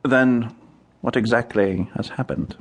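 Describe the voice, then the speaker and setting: A man's voice: medium pitch, a little slow, natural voice. Stiff, curt investigator type in an old-style radio play, formal questions, a man doing it. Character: ghost hunter Jarrem Lee, curt and commanding. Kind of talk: radio drama acting.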